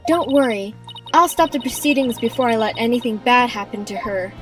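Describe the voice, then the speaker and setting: Woman acting a fierce, high-voiced young lawyer. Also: fairly quick, close to an everyday voice. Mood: protective, firm. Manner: confident, protective. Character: fierce, loyal young female assistant lawyer. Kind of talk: amateur video game fan dub. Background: game music.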